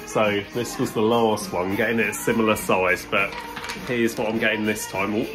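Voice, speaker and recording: Low-voiced young British man, halting. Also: steady tone, over music. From Britain. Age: 20s. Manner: hesitant, halting, many pauses with ums. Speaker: vlogger. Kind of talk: casual vlog talk to camera. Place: on the move: street, shopping centre, station, small studio. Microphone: phone held at arm's length.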